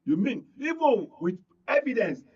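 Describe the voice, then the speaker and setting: A man with a Nigerian accent arguing on a panel, forcefully explaining and repeating himself. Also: extremely high pitch, sing-song, normal speed, slightly rough, far from the mic. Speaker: panel guest. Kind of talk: heated panel debate. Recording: dull, lo-fi broadcast audio.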